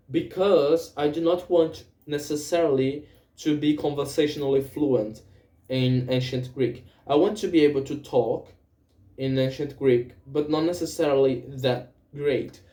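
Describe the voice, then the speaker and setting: A young man with a Brazilian accent talks slowly and carefully in English. He hesitates often and corrects himself as he goes, in a mellow tone. His voice rings in an echoey room. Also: medium pitch. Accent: Brazilian Portuguese. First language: Portuguese. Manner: hesitant, many fillers and self-corrections. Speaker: vlogger. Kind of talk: casual spoken journal, language practice.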